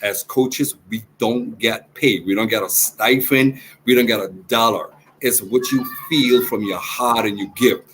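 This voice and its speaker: A man's voice: on the low side, measured pace, few pauses, fairly bright tone, poor audio. A man, a rugby coach speaking earnestly and proudly in a remote interview, often repeating himself. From Turks and Caicos Islands. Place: outdoors beside team. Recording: faint background noise.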